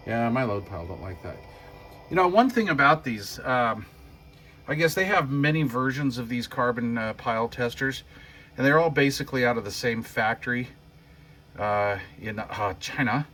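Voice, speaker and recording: A man giving a casual hands-on demo as a hobbyist host, folksy and thinking out loud. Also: medium pitch, expressive, normal speed. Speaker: hobbyist host demonstrating a homemade power supply. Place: workshop bench.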